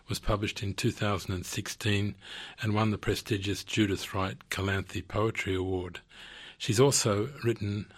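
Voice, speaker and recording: A man interviewing a guest, reading an introduction and asking measured, prompting questions. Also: on the low side, a bit bright, no echo.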